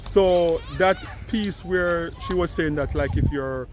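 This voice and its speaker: A man's voice: on the high side, slow, background noise. A man from Jamaica, giving casual commentary and often repeating words as he starts sentences. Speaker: commentator responding to a video.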